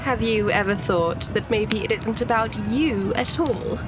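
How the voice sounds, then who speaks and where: An actress playing a sinister villainess who commands demons in a fantasy audio drama, sardonic and condescending. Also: female voice, medium pitch, close to an everyday voice, poor audio. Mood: haughty scorn. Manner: sardonic, condescending, drawn-out delivery. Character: mocking, sinister villainess commanding shadow demons for her master. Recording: loud music behind the voice.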